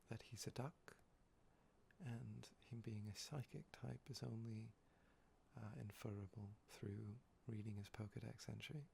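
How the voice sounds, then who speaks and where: A man speaking softly and often in a whisper for an ASMR video, his voice a bit hoarse, rambling with many pauses and fillers. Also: medium pitch, slight background noise. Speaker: ASMR creator. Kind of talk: soft-spoken ASMR talk, whispering.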